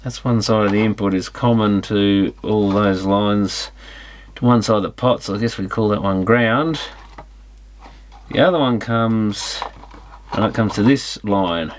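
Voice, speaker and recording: A man with a fairly low voice talks casually through an electronics teardown. He muses aloud in an unscripted way, working things out as he goes. There is some faint noise behind him. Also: unhurried. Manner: musing, thinking aloud. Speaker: electronics repair hobbyist presenting a teardown.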